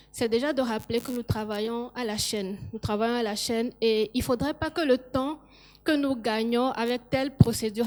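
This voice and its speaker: A woman on a business panel giving measured, factual remarks on cross-border trade. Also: a little high, darkish tone. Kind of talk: panel discussion.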